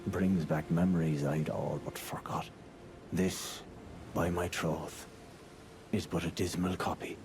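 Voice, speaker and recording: A man playing a proud, dour, reserved warrior in a fantasy game, with stern and deliberate archaic speech. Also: sits low, fairly even intonation, noisy background. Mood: gruffly wistful. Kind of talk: dramatic fantasy game dialogue.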